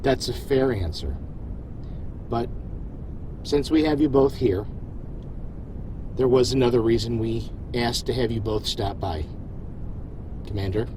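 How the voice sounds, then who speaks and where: A man's voice: medium pitch, unhurried, pauses often, warm tone, slight background noise, close to an everyday voice. A man playing a supportive, self-deprecating starship captain in an audio drama, earnest and folksy. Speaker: voice actor.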